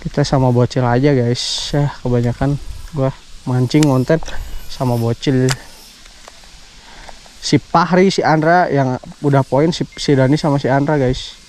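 A young man with a Sundanese accent, chatting casually to viewers while fishing outdoors, slangy and quick to laugh. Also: medium pitch, fairly even intonation, a little mellow, slight background noise. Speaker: fishing vlogger. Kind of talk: casual vlog narration. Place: outdoors by a pond in rural greenery.

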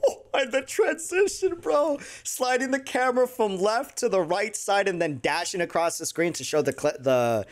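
A young adult man giving excited, slangy, effusive casual reaction commentary on a video game at his desk. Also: high-pitched, normal speed, hardly any pauses. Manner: effusive, slangy, uses bro and dude. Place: small indoor room at a desk. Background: game trailer music.

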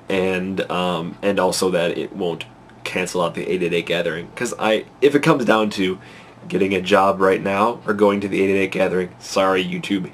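A young man in his twenties, congested from a cold, rambling anxiously and excitedly with many fillers in a casual to-camera vlog, recorded on a close webcam in a small bedroom at night. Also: low-pitched, a little hiss or hum. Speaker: vlogger. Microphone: webcam at close range.

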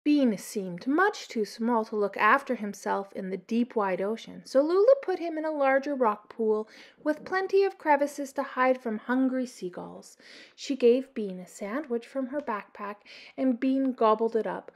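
A middle-aged woman reads a picture book to children. Her voice is fairly high, and she tells the story expressively. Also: animated, unhurried. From Canada. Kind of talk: expressive read-aloud storytelling.

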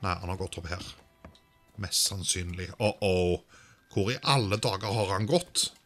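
A man's voice: sits low, a bit bright, faint music behind. A man doing casual let's-play commentary, thinking aloud and sprinkling in English exclamations. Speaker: gaming video host.

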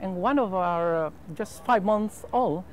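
A man from El Salvador speaks careful, earnest English with a Spanish accent as a pastor in an interview. He pauses with fillers between phrases. A lapel mic picks him up on an open terrace, with light noise behind. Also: high-pitched. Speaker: pastor and mission president. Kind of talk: interview answers. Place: outdoor rooftop terrace over a harbor.

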